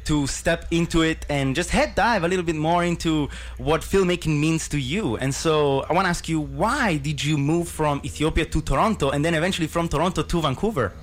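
Upbeat male radio host, slightly high voice. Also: brisk, few pauses. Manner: enthusiastic, many fillers and restarts. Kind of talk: casual radio interview. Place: radio booth.

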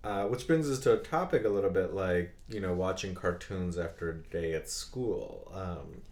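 Deep-voiced man in casual chat. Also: moderate pace, a little mellow, roomy sound. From Bangladesh and Saudi Arabia. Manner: thoughtful, restarts sentences, asks follow-up questions.

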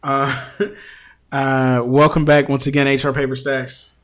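A man's voice: medium pitch, completely flat delivery, unhurried. A man hosting a podcast, talking casually and informally in colloquial slang. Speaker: podcast host.

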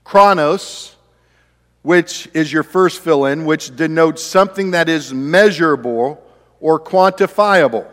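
A man's voice: a little high, takes it slowly, big-room sound. A man in his fifties preaching a sermon as pastor, in a conversational way with chatty asides to the congregation. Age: 50s. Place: church sanctuary.

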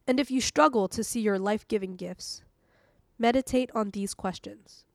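Calm woman reading a devotional. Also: mid-range voice, normal speed, no room sound, studio quality. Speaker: devotional podcast host and reader.

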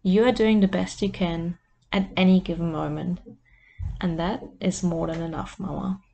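A woman who hosts a podcast as a life coach, leading a guided meditation in a soft, soothing voice. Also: lowish voice, fairly even intonation, normal speed, creaky, a little hiss or hum.

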